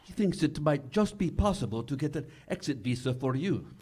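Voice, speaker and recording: An actor playing an earnest, devoted husband and hunted leader with a husky voice, in 1940s-style radio theater. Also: male voice, fairly high pitch, expressive, a little fast, mellow.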